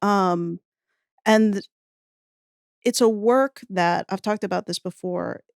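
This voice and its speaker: A woman's voice: medium pitch, slow, creaky, smooth, no room sound, studio quality. A woman in her thirties chatting as a podcast guest in a thoughtful, wry, nerdily enthusiastic way. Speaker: podcast guest, audio fiction writer. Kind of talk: casual interview conversation.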